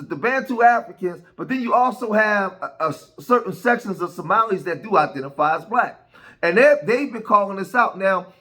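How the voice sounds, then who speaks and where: Man, American, commentator, emphatic, high-pitched, bright. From United States. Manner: emphatic, repeats words and asks "right?". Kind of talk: reaction commentary.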